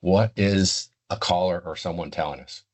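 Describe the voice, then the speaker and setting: An older man giving unscripted, explanatory interview answers in a deliberate, halting way. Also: deep voice. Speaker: interview guest, retired law enforcement communications expert.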